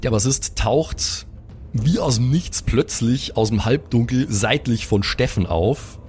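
A man improvising the narration of a tabletop role-playing game, descriptive and pausing as he invents. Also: mid-range voice, darkish tone, a little hiss or hum. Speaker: game master.

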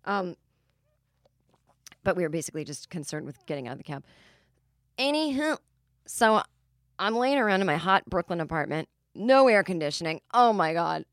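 A woman in her forties with a lowish voice, recorded close and dry on a studio mic. She rambles through comic stories in a chatty, exasperated way, peppered with "like". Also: very animated, normal speed, pauses often, dry recording. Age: 40s. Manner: chatty, exasperated, heavy use of "like". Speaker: comedian podcast host. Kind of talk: casual comedic storytelling monologue.

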